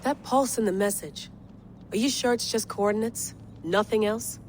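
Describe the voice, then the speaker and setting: An actress playing a troubled, guarded field operative in a video game, earnest and uneasy, speaking hesitantly. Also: female voice, medium pitch, normal speed, darkish tone, a little hiss or hum, lightly acted. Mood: intent, grave. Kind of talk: naturalistic video game acting. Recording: music playing behind the voice.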